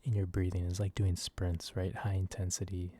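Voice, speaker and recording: A man softly rambling in a casual ASMR style, hesitant and informal, with a breathy voice. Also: sits low, flat delivery, normal speed, no room sound. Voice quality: soft, breathy. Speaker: video creator. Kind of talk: soft-spoken ASMR ramble.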